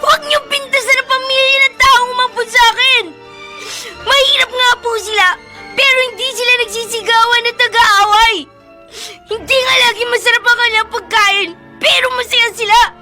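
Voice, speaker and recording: A woman's voice: very high voice, steady tone, moderate pace, soft background music, exaggerated voice. A woman playing a hurt, defiant young child pleading in a Filipino radio drama. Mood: indignant. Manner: emotional, pleading, forceful.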